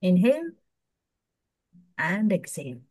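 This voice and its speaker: Female meditation guide, Indian accent, very slow and soothing, dry close sound. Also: on the low side, big pitch swings, no room sound. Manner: soothing, hushed at phrase ends, with long pauses.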